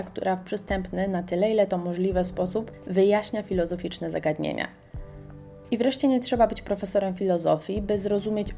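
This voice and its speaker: Woman radio presenter, warm and relaxed tone, chatty scripted read over light background music. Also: mid-range voice. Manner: chatty, addresses listeners with rhetorical questions. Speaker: radio presenter recommending podcasts.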